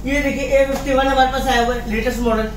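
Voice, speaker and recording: A middle-aged man giving an informal, repetitive, persuasive sales pitch as a phone wholesaler. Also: fairly even intonation, fast. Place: small office room, indoors. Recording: echoey room, distant mic, noisy background.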